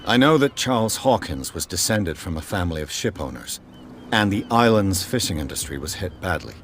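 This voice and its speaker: An actor playing a composed, dogged detective in a video game, with a terse, dry, questioning way of talking. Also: male voice, sits low, expressive, fairly quick, a little hiss or hum. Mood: probing.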